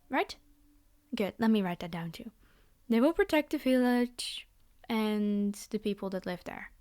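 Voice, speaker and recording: A woman playing a commanding vampire girl in an ASMR roleplay, speaking directly to her human captive with condescending, teasing reassurance. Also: a little high. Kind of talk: scripted ASMR roleplay monologue.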